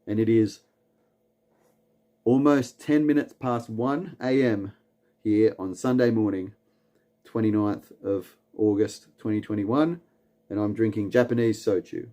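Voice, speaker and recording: Australian man, stream host, slow laid-back rambling delivery, lowish voice, lots of fillers. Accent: Australian, from Newcastle. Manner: relaxed and rambling, with many "um"s and repeated words; chuckles often between lines. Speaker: livestream host. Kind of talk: late-night livestream chat, reading comments aloud. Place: at home, late at night.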